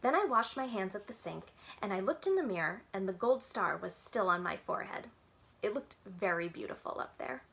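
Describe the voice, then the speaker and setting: A woman's voice: medium pitch, sing-song, moderate pace, creaky, fairly bright tone. A woman reading a children's book aloud expressively, as a library storyteller, with dramatic, childlike first-person narration.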